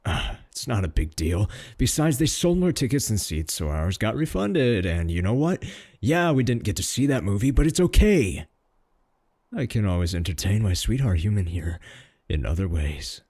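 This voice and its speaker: A man acts out a boastful, doting male werewolf in a comic audio drama. His voice is lowish and husky, with big swings in pitch as he drawls and mocks his rival. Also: big pitch swings, normal speed, darkish tone, dry recording, clean studio sound, exaggerated voice. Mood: defensive, then flirty, boastful. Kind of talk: comedic audio roleplay acting.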